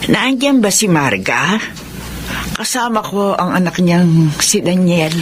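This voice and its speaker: A woman acting a frail, elderly adoptive mother who speaks calmly and deliberately in a radio drama. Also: lowish voice. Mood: calm, solemn. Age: older. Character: old frail adoptive mother, calm and candid. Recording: noisy radio drama recording.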